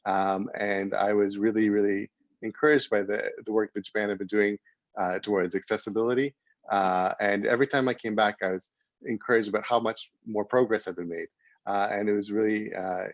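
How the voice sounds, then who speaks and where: A man with a lowish, monotone voice talks haltingly on a video call, full of "uh"s and self-corrections. The sound is dry and muffled, with a dull, lo-fi quality. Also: dry recording. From Canada. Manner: hesitant, many fillers and restarts. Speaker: panel speaker. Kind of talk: online panel talk.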